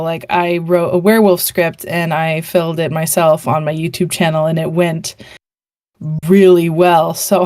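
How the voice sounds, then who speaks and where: A young woman writer on a panel, talking casually and hesitantly, with many fillers and pauses. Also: on the low side, darkish tone, no echo, clean studio sound.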